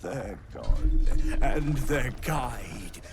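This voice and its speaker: Eerie, very slow spider-creature. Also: male voice, mid-range voice, expressive, over music. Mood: reverent, menacing. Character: eerie, reverent male drider, half-spider guide.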